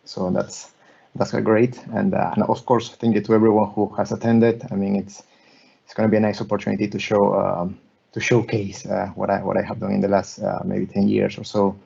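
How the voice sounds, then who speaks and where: A man, giving an online lecture as a guest architect, talking haltingly with many restarts and repeated words. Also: fairly low pitch, monotone, vocal fry, mellow, husky. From Peru. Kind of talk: online lecture presenting slides. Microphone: video call. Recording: muffled video call audio.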